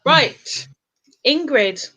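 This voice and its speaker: Woman host, high and very lively voice, slow delivery, teasing and playful. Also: lo-fi sound. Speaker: live-stream interview host. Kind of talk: casual live-stream interview.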